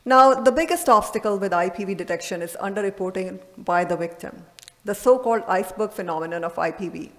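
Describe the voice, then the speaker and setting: A woman's voice: medium pitch, fast talker, a bit bright. Woman lecturing in a reverberant hall. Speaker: radiologist presenting at a medical conference. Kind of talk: conference lecture.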